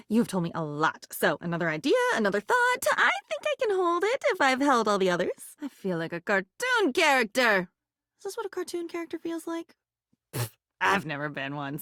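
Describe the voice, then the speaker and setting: High, animated, whimsical young woman. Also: no echo, studio quality, exaggerated voice. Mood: weary, teasing. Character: whimsical, anxious, melodramatic young woman character in dating game. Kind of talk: theatrical video game character acting.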